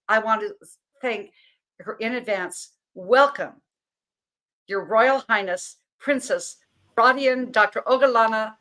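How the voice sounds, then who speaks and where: A woman's voice: on the high side, a bit bright. A woman hosting an interview, introducing and questioning her guest with gushing, playful enthusiasm. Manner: gushing, playful, exaggerated praise. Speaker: host.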